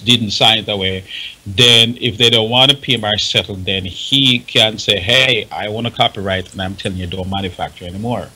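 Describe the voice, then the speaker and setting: A man's voice: on the low side, moderate pace, sharp tone, slightly rough, poor audio. A man with a Jamaican accent on a talk panel, explaining and advising emphatically and repeating himself for stress. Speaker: radio panelist advising on music rights.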